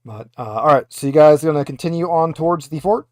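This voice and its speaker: A man's voice: on the high side, a little fast, a little mellow, no echo, clean studio sound. A man working as game master, informally narrating and explaining a tabletop roleplaying game.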